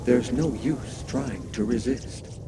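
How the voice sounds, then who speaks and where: Creaky, menacing supernatural male villain. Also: medium pitch. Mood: cold menace. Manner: measured, coldly threatening. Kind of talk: video game voice acting. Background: music.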